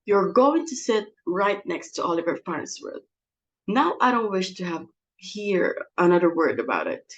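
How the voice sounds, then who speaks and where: A woman's voice: on the low side, big pitch swings, unhurried, muffled recording. A woman playing a snobbish, easily exasperated society mother and hostess in a dramatic reading of a stage comedy, with a fussy, scolding delivery.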